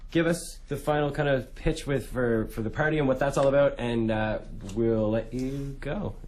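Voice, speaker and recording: A man's voice: medium pitch, moderate pace, a little mellow. A man co-hosting a radio show, chiming in with enthusiastic, friendly remarks. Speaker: radio co-host. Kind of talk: casual radio chat.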